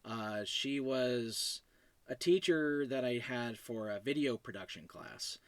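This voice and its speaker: A man's voice: mid-range voice, expressive, takes it slowly, a bit bright, no echo. A man hosting a solo podcast in a casual, digressive, self-deprecating way, talking about his own fiction. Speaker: podcast host.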